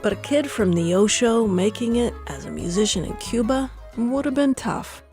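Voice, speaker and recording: A woman radio reporter narrating a news feature in a measured, even way. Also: on the high side. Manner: measured, even storytelling delivery. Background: band music under the voice.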